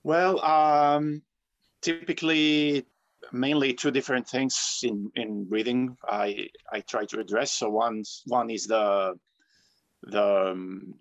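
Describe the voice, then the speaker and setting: A man from Tunisia answering interview questions conversationally as a guest, in halting, thoughtful phrases over an online call. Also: medium pitch, steady tone, muffled recording. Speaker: guest, professional free diver.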